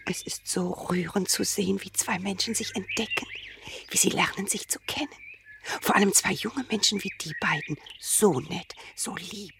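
A woman's voice: medium pitch, normal speed, creaky, lightly acted. An actress dubbing a sentimental older wife who whispers fondly to her husband. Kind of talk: film dub.

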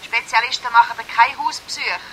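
A bright, forceful surgery receptionist in a radio drama. She is brisk and insistent, a little high in pitch, over faint recording noise. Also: fairly even intonation. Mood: businesslike, insistent. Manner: brisk, matter-of-fact. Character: businesslike, insistent doctor's receptionist. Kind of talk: radio play acting. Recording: faintly noisy old radio play recording.

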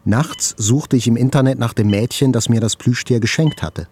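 An actor playing a wounded journalist, narrating his hospital recovery in a measured, reflective literary style. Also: medium pitch, a little mellow, slight background noise, close to an everyday voice. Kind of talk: scripted literary narration, radio play.

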